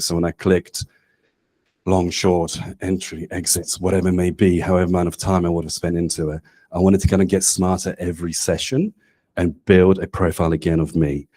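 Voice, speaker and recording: A man's voice: low voice, mellow. A man in his forties giving a casual, chatty presentation to an audience in a meeting room, speaking into a handheld microphone and often checking in with "right?". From not Australia. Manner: casual and chatty, with many "um"s and tag questions ("right?"). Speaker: presenter at a community meetup.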